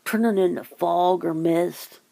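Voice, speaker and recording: Slow, very gravelly woman musing casually. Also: lowish voice, steady tone, warm tone. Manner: musing, rambling, swears casually. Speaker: ASMR host. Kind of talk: casual chat, ASMR.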